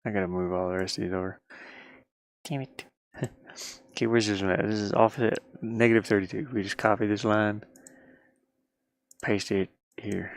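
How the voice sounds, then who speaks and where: A tired man thinking aloud casually as he works on a game, muttering to himself and grumbling at the software into a headset mic. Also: fairly low pitch, fairly even intonation, moderate pace, long pauses, no echo. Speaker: indie game developer working on camera. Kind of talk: casual thinking-aloud screen-work stream.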